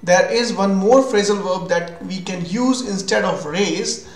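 A man's voice: sits high, measured pace. A man with an Indian accent giving a scripted English vocabulary lesson, explaining in an instructional way. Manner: instructional, defining terms then giving examples. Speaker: English teacher. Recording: roomy echo with music behind.

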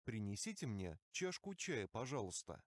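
A man reading single vocabulary words and short phrases aloud for a language course, with slow, careful enunciation. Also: fairly low pitch, sing-song, no echo, studio quality. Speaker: language course audio reader.

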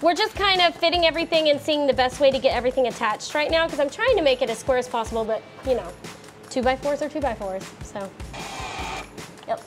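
A woman in her thirties hosting a casual woodworking tutorial in her home garage, chatty and quick to correct herself. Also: high voice, lively intonation, soft background music. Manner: chatty, self-correcting, laughs often. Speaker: DIY woodworking host.

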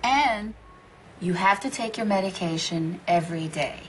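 An actress playing a caring, coaxing daughter. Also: female voice, on the low side, normal speed, a little hiss or hum, close to an everyday voice. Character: adult daughter, caring and pleading.

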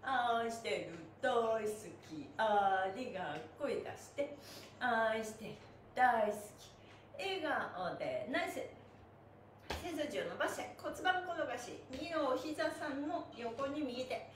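A high-voiced middle-aged woman leads an exercise class with animated, encouraging calls and chants. Her phrasing is playful and polite. She is recorded at a distance in an echoey room, with some noise behind her. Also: slow. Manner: cheerful, encouraging, playful polite phrasing with honorifics for body parts; animated, calls out while moving through exercises. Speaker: stretch class instructor. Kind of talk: upbeat exercise instruction with counted chants. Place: small bare indoor room with mat. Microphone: camera a few metres away.

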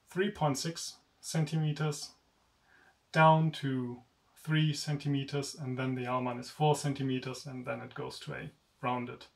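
A young man with a German accent, a native German speaker, chatting casually through a sword review in a room lined with swords, in a relaxed, self-deprecating way. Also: medium pitch, vocal fry, far from the mic. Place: indoor room with swords on wall rack. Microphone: camera at chest-up framing.

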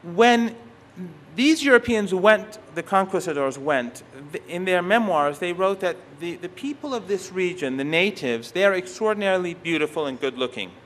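A middle-aged man whose first language is Persian, giving an academic conference talk, halting often and restarting his phrases. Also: sits high, expressive, fairly bright tone, slight background noise. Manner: halting, restarts phrases, stresses key words. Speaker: conference speaker. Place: university conference hall.

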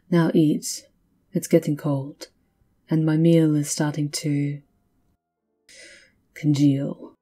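Woman playing a dark-voiced, slow, seductive vampire countess. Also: low voice. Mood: cold, proud. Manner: imperious, slow, teasing, often dropping to breathy whispers. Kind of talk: ASMR roleplay monologue. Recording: violin music behind the voice.

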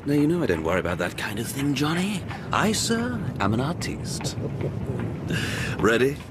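Gallant, vain theatre star played by a man, courtly and hugely swooping delivery, radio drama over street rumble. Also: big pitch swings, moderate pace, lightly acted. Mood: breezy. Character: charming, vain, gallant Victorian stage star.

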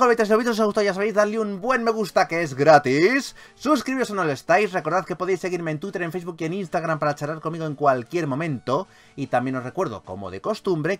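A man with an accent from Spain giving casual, excitable gaming commentary, repeating his phrases and swearing freely. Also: a little high. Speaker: gaming YouTuber, host. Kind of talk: casual, excitable Pokémon let's play commentary. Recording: game music behind voice. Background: video game music.

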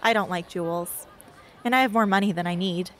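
A woman's voice: lowish voice, fairly quick, some chatter behind, slight background noise, lightly acted. A young woman playing a blunt, guarded teenage girl in an amateur radio drama, with a terse, dry delivery. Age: young adult. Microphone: close studio microphone.

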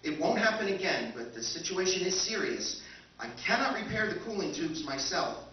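A courteous, relentless machine speaks in formal, measured sentences, as a man acts it out on stage. The voice sits a bit high, and it comes across dull and distant, with a hall's heavy echo around it. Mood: calm, formal. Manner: formal, measured, calmly menacing. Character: polite, relentless robot ship caretaker. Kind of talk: live old-time radio recreation on stage. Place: hotel function room stage with audience. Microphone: stand mic, far camera. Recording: echoey hall from far off, dull.

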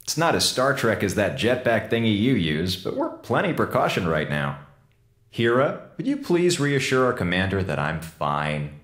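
Slangy, wisecracking space crewman, animated and theatrical, echoey sound. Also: lowish voice, normal speed. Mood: flippant, casual. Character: wisecracking, theatrical male space station communications officer. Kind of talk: audio drama acting.

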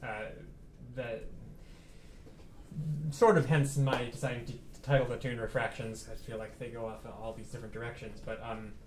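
A man's voice: mid-range voice, fairly even intonation, normal speed, echoey room, a little hiss or hum, rough recording. A man in his thirties, a pianist, talking casually to a small house concert audience between tunes, thoughtful, with many fillers and restarts.

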